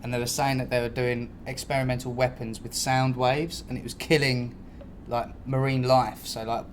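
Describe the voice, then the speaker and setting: A man's voice: mid-range voice, little pitch movement, normal speed. Slangy British man, noisy podcast recording. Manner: slangy, sweary. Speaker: podcast host. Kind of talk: casual improvised podcast chat.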